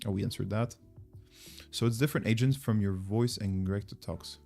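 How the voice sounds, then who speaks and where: A man's voice: on the low side, moderate pace, creaky, a little mellow, soft background music. A man with a French accent hosting a casual livestream, talking to viewers in an informal, rambling way as he thinks aloud. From France. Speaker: livestream host.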